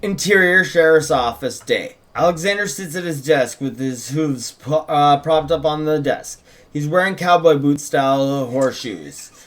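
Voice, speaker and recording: A man's voice: on the high side, measured pace. A man co-hosting a script read-through, narrating stage directions and chatting casually about the script he co-wrote. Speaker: co-host and script co-writer.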